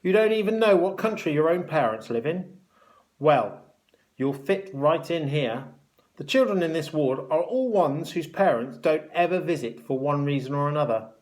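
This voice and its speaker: Man putting on a sneering, shrill bossy matron. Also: lively intonation, normal speed, natural voice. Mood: mocking. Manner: sharp, snapping, mocking, imperious. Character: cruel, sneering adult hospital matron, bossy woman. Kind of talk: children's story read aloud.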